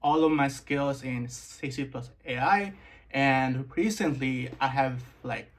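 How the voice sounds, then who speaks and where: A young man from Nepal, a guest in a casual interview, relaxed and full of "like" fillers. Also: medium pitch, slow. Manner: relaxed, filler-heavy, says "like" often.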